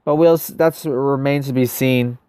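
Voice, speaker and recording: A man's voice: medium pitch, fairly even intonation, moderate pace, dark tone, smooth, dry recording. Casual male streamer, clean resonant voice, chatty gameplay talk, very dry sound. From United States. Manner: chatty, answers viewers' messages, thinks aloud. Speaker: livestream host. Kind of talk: casual livestream gameplay chat.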